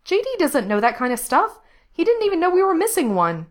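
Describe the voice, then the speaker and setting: A woman voicing a tough, sharp-tongued woman rebel fighter with a brash, impatient manner in a story. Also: sits high, sing-song, normal speed, voice pushed up, heavily acted. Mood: snappy, irritated. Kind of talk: acted audiobook dialogue.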